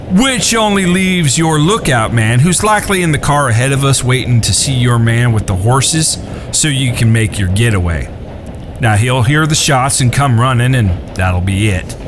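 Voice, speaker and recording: A man's voice: mid-range voice, close to an everyday voice. Guy acting a polite but dangerous cowboy gunman, mid voice, radio play with music under it. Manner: folksy, polite, long-winded explaining. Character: calm, courteous, quietly menacing Western gunslinger brother. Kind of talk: Western audio drama acting. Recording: music bed behind the voice.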